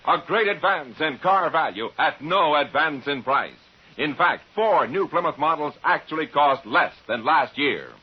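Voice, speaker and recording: A male American commercial announcer reads a 1950s radio ad in a fairly high, very lively voice. It is raspy and sounds dulled by an old recording. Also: a little slow, dull audio.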